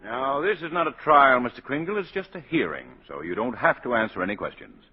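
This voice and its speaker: Very animated formal prosecutor. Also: male voice, medium pitch, a little fast, husky, faint music behind. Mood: formal, smug. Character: brisk, formal male prosecutor, dismissive and official. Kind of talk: 1940s radio acting. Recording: dull 1940s radio broadcast.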